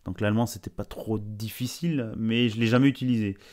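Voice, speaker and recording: A man's voice: lowish voice, steady tone, fairly quick, darkish tone. A man hosting a podcast interview, chatty and full of fillers and friendly digressions. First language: bilingual French-Spanish. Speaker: podcast host. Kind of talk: casual interview chat.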